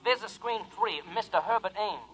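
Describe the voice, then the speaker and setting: An actor playing a polite, businesslike telephone operator in 1950s radio drama style. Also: male voice, high-pitched, normal speed, fairly bright tone, poor audio.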